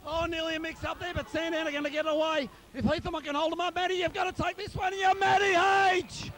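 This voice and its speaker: Male Australian amateur footy caller, extremely high strained pitch, wildly excited and one-eyed, yells names over and over. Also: fast, a little hiss or hum. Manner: shouty, wildly excited, repeats names and calls. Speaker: amateur football commentator. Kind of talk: excited partisan live sports commentary.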